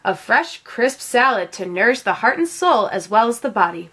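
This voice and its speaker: A wholesome country auntie from a storybook , voiced by a woman reader, speaks with deliberate, savoring appreciation in a fairly high voice. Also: very animated, pushed and bright, breathy edge, full character voice.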